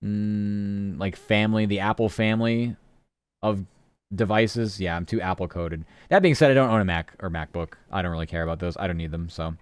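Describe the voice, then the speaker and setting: A man's voice: on the low side, normal speed, smooth, no echo. A man streaming live, chatting casually and rambling from topic to topic while answering his chat.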